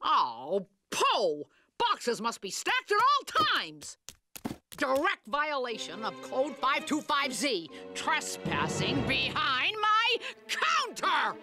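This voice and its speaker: A woman's voice: sits high, huge pitch swings, takes it slowly, full character voice. A woman voicing an officious, gullible middle-aged cartoon landlady with an overwrought, emphatic delivery. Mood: outraged. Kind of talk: animated cartoon voice acting. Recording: loud cartoon music behind the voice.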